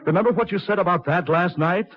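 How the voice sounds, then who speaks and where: An actor in a 1940s radio drama playing a vengeful man back from the dead, deliberate and ominous. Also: high voice, soft background music, natural voice. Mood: coldly menacing. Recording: dull 1940s radio recording.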